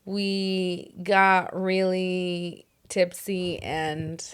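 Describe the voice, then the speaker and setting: Hoarse, chatty young woman. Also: fairly low pitch, level tone, very slow. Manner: chatty, full of "like" and "dude". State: hoarse, voice still recovering. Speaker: podcast co-host. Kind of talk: casual chat.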